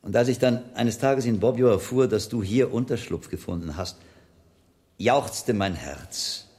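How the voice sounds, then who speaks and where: An actor playing a shrewd, learned friar in a radio drama, speaking in a measured, thoughtful and wry way. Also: male voice, lowish voice, darkish tone, close to an everyday voice. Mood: reflective, warm.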